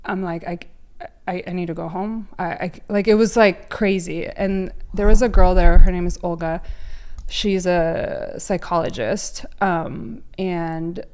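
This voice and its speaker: A woman's voice: on the low side, steady tone, unhurried, darkish tone, clear, resonant tone. A woman from Ukraine sharing her personal testimony as a guest, telling it with emotion and many fillers and restarts. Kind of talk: personal testimony in a faith podcast.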